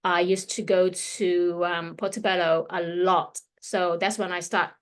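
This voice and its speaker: A woman's voice: lowish voice, little pitch movement, a little slow, smooth. Woman, 50s, Hong Kong-London accent, chatty stylist giving a workshop over a computer mic. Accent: Hong Kong and London English. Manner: chatty, loose, with fillers and restarts. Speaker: fashion stylist leading a workshop. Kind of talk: casual presentation to an audience.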